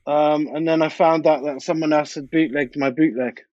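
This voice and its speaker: A man's voice: a little high, steady tone, normal speed, a little mellow, no echo. Man chatting, dull dry recording. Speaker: DJ and music producer being interviewed. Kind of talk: casual interview chat, anecdotal.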